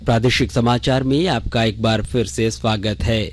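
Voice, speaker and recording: A man reading a scripted radio news bulletin in a measured, formal way. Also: medium pitch, fairly even intonation, a little hiss or hum. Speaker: news reader. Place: radio studio.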